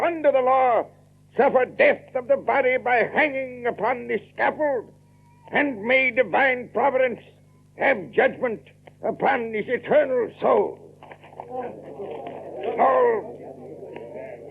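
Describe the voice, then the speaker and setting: An actor playing a stern, self-righteous Puritan colonel in a radio drama, speaking in pompous, formal commands and proclamations. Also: male voice, very high-pitched, vocal fry, husky, heavily acted. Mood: solemn, proclaiming. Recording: thin, phone-like old radio recording.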